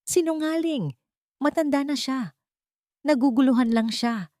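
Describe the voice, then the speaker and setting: A woman's voice: sits high, measured pace, warm tone, dry recording, clean studio sound, pitched above the performer's own voice. A woman voicing an angry, scheming daughter-in-law in an audio drama, protesting indignantly.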